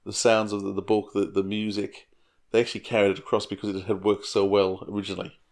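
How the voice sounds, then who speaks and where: A man's voice: fairly low pitch, little pitch movement, fast, darkish tone. Chatty male podcast co-host. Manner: rambling, enthusiastic, restarts often. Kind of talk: casual chat.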